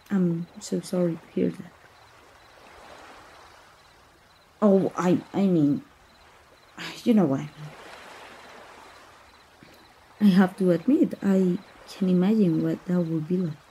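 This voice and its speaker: A woman playing a kind, adventurous young princess in a romantic ASMR roleplay, speaking softly and tenderly with a breathy voice. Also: lowish voice, a little hiss or hum, rough recording. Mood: sympathetic, tender. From Mexico. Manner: soft, hesitant, tender, intimate delivery. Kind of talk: romantic ASMR roleplay, soft intimate acting.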